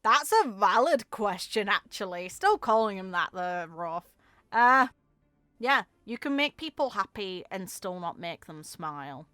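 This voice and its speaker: A woman's voice: medium pitch, expressive, measured pace, pushed and bright, dry recording, soft background music. A woman giving casual reaction commentary on a game, musing aloud with short emphatic asides. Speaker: content creator reacting to game voicelines.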